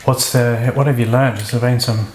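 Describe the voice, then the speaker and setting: A man hosting a casual podcast interview, friendly and prompting with quick follow-up questions. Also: medium pitch, steady tone, a little mellow, reverberant, slight background noise. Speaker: podcast host.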